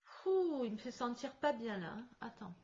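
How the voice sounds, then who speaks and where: A fairly high-voiced woman speaks over a video call whose sound is a little dull and muffled. She leads a spirit contact session as the medium, describing what she senses in stop-start phrases. She breaks into quick laughs along the way. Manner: lively, laughs often, halting restarts.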